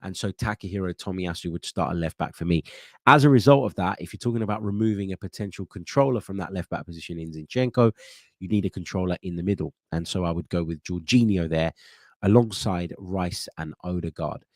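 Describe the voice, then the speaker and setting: A low-voiced man talks through a football preview in an easy, chatty way, stopping and restarting as he thinks. His nose is stuffed up. The sound is close and dry, with no room around it. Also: a little fast, a little mellow, no room sound. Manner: thinking aloud, many fillers and restarts. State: congested, blocked nose. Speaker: football channel host. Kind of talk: casual match preview chat.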